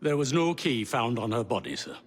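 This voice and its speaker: A man's voice: medium pitch, a little fast, natural voice. An actor playing a nervous, deferential male henchman reporting to his master in a video game. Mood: apologetic, uneasy. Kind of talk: dramatic video game acting. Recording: long hall reverb, faint music.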